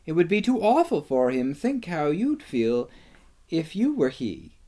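A protective older sister from a storybook, played by a male narrator. She sounds anxious and hushing, her voice raised well up in pitch with concern. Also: wildly swooping pitch, measured pace, exaggerated voice.

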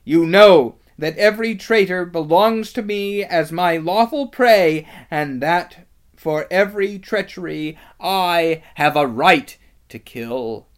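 Man voicing a shrill, savage witch queen. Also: slow pace. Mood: shrill outrage. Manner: forceful, declamatory, menacing. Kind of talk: dramatic storybook reading.

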